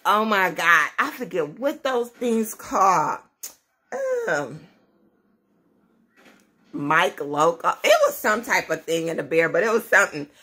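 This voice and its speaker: A woman's voice: fairly low pitch, expressive, unhurried, long pauses, sharp tone, breathy edge. A woman, chatting casually to camera at home, rambling and laughing as she talks. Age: 30s. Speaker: vlogger, mother of many. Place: small indoor room at home.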